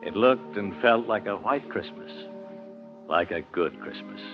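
A man playing a gentle storytelling narrator in a 1940s radio drama. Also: fairly low pitch, unhurried, close to an everyday voice. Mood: tender. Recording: muffled radio broadcast under loud music.

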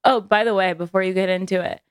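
A woman co-hosting a casual podcast, playful with quick asides and casual swearing. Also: medium pitch, steady tone, clean tone, no room sound, studio quality. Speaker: podcast co-host.

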